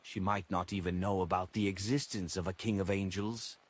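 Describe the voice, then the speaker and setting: A man's voice: deep voice, steady tone, moderate pace. A man voicing an eager, curious young noblewoman in an audiobook, with a lifted, inquisitive delivery. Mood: musing.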